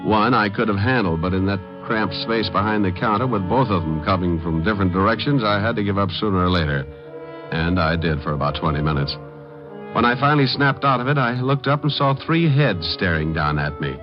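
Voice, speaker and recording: A man's voice: on the low side, animated, brisk, close to an everyday voice. A man playing a tough, sardonic, wisecracking private detective in an old radio drama, with a dry, flippant delivery. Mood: wry narration. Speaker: lead actor and narrator. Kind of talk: 1940s-50s radio detective acting. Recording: dull vintage radio recording, faint music.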